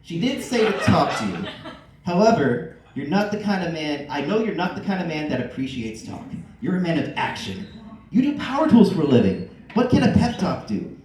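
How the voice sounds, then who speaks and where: A man's voice: fairly high pitch, sing-song, normal speed, darkish tone. A man voicing a big, cheerful, flirty handyman with a coy scheme in a comic story. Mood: eager, persuasive. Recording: echoey room, distant mic, faint noise.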